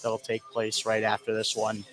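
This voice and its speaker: A man's voice: lowish voice, monotone, normal speed, fairly bright tone, soft background music. An American man giving conversational color commentary courtside during a live basketball broadcast.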